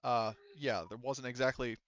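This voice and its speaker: A man running a tabletop roleplaying game as game master, improvising narration in a casual, profane, halting way. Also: mid-range voice, steady tone, fairly bright tone, dry recording, lo-fi sound.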